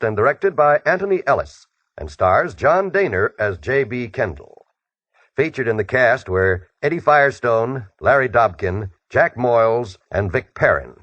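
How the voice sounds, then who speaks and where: A man's voice: medium pitch, no echo. An American man reading scripted credits as a 1950s network radio announcer. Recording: muffled vintage radio with loud music.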